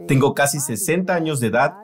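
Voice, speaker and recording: A man's voice: on the high side, fast talker. A man preaching a sermon in an earnest, emphatic way, voicing it as a translation.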